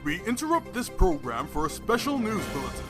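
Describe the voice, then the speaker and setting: A man's voice: sits high, big pitch swings, a little fast. A man playing a male television news anchor reading a special bulletin in a formal newsreader manner, with music beneath. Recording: music underneath.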